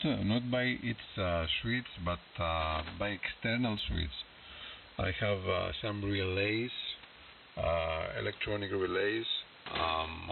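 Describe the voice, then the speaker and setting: Hissy shortwave radio, slow Greek-accented man. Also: deep voice, vocal fry, recorded from a distance, narrow, tinny audio. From Greece. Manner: halting, searching for words in non-native English. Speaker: amateur radio operator. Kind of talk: casual ham radio conversation.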